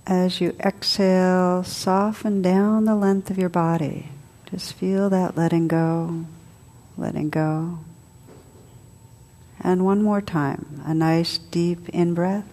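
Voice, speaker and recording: A woman leading a guided meditation as a teacher, soothing and unhurried, speaking in short phrases with long pauses. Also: fairly low pitch, fairly even intonation, mellow. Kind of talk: guided meditation, spoken to a group.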